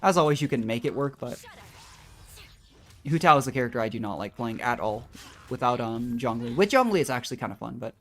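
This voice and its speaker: A woman's voice: very deep voice, lively intonation, a little fast, fairly bright tone. A woman doing casual livestream gameplay commentary, musing and thinking aloud as she goes. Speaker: game streamer.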